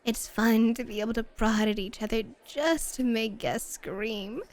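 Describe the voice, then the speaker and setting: A woman acts as a flirty bunny-club hostess with a fairly high voice. She is playful and dominant, teasing her guest with coquettish, drawn-out phrases in an intimate ASMR roleplay. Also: steady tone, a little mellow, close to an everyday voice. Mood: flirty, fond.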